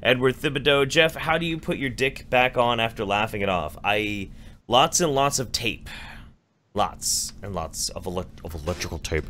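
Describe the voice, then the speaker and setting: A man's voice: mid-range voice, animated, moderate pace, fairly bright tone, slight background noise. A man hosting a podcast, reading donation messages aloud and joking sarcastically. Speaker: podcast host.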